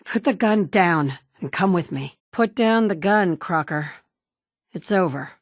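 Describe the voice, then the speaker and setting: Blunt sardonic wasteland mom, lowish voice, dry studio sound, clipped lines. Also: female voice, animated, measured pace, no room sound. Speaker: player character. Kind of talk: video game dialogue lines. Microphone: studio mic.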